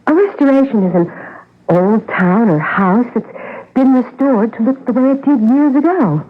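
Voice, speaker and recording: Uneasy, animated young wife on radio. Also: female voice, a little high, moderate pace. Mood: earnest, puzzled. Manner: hesitant, anxious, earnest. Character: uneasy, polite wife stranded while travelling. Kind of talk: 1980 radio drama acting. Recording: noisy, muffled old radio broadcast.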